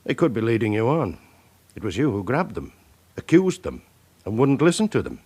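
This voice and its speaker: A man playing a shrewd senior police officer in a radio crime drama, authoritative, clipped, deliberate and sceptical. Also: mid-range voice, sing-song, a little mellow, slightly rough. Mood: firm, sceptical.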